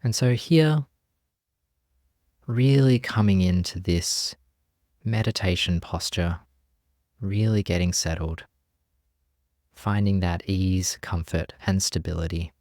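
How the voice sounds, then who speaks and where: A man leading a guided meditation as a teacher, speaking gently and leaving long pauses between phrases. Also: low-pitched, no room sound, studio quality.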